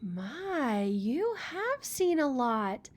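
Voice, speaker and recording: A woman's voice: high-pitched, big pitch swings, very slow, pitched above the performer's own voice, exaggerated voice. A woman voicing a small, eager, restless spotted beetle with a pleading, questioning manner in a picture book read-aloud. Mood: impressed.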